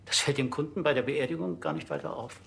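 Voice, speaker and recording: An actor playing a formal, defensive undertaker, polite and businesslike, in a TV crime comedy. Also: male voice, medium pitch, big pitch swings, quick pace, a bit bright, slight background noise.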